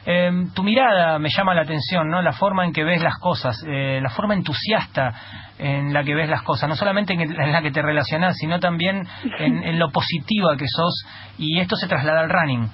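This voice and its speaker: A man with a Rioplatense Argentine accent and a somewhat high voice hosts a radio interview. He often stumbles and repeats words as he restarts his sentences. The sound is muffled and lo-fi, with faint noise underneath. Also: moderate pace. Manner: hesitant, many repeated restarts. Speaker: radio host.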